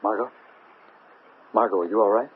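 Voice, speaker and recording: A man's voice: fairly low pitch, normal speed, slightly rough. An actor playing a worried, protective man in a 1970s radio drama, speaking earnestly. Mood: concerned. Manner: earnest, concerned. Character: worried, protective man pleading with his beloved. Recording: dull, noisy vintage radio recording.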